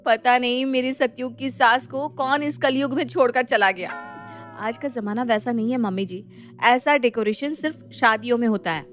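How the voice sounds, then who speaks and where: A woman voicing a sensible young daughter-in-law who protests in a lively, exasperated way in an animated story. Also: fairly high pitch, moderate pace, faint music behind, natural voice. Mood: brisk, teasing. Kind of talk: animated Hindi moral story acting.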